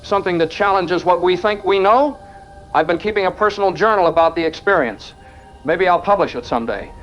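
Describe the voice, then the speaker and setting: A man's voice: high-pitched, a little fast, a bit bright, soft background music. A man playing an earnest young university lab researcher, speaking conversationally in an interview-style audio drama. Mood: proud, excited. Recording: dull audio with background noise.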